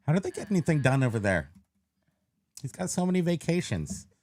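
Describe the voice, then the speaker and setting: A man's voice: a little high, big pitch swings, fairly quick, a little mellow. A man co-hosting a podcast, chatting casually on a live stream in a joking, playful way. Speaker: podcast co-host.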